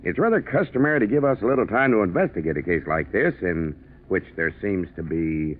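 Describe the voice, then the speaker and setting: Low-voiced, cautious insurance official. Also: male voice, lively intonation, fairly quick. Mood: politely evasive. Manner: formal, placating, careful. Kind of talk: 1940s radio mystery acting. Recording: narrow, noisy 1940s radio recording.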